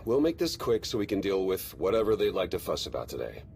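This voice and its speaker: A man's voice: sits low, very animated, quick pace, gravelly, darkish tone, slight background noise, full character voice. A man playing a blunt, wry military adviser in a scripted video game, casual in manner. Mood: businesslike.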